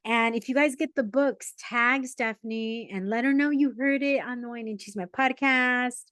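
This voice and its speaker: A woman hosting a podcast interview, a lively storyteller who mixes Spanish words into her English. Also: on the high side, moderate pace, no room sound. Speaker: podcast host. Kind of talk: casual interview chat.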